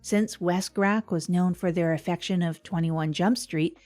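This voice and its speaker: A woman's voice: on the low side, fairly even intonation, unhurried, a little mellow, soft background music, lightly acted. A woman playing an earnest, confessional comedian host delivering a scripted comic monologue on a podcast. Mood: earnest, rueful.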